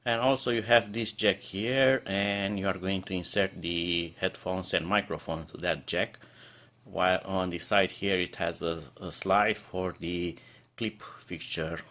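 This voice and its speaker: A man's voice: deep voice, steady tone, a little slow, a bit bright. A man giving an unscripted product review, explaining features step by step with hesitant, slightly non-native phrasing.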